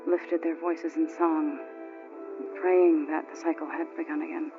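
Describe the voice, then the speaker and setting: An actress playing a solemn, devout tribeswoman in a video game, speaking in a measured, earnest and formal storytelling way. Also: deep voice, flat delivery. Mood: solemn, grieving. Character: solemn, devout tribeswoman who reveres machine gods. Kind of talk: dramatic video game acting. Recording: dull, compressed game audio. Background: prominent background music.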